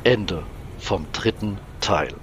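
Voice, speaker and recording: A man's voice: low-pitched, animated, slow, over loud music, full character voice. A man reading scripted dramatic narration as the storyteller of an audio drama.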